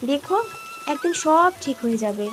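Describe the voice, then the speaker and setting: A woman voicing a worried, sharp-tongued but caring village wife and mother in a cartoon story. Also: sits high, animated, moderate pace, faint music behind. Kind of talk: dramatized cartoon story acting.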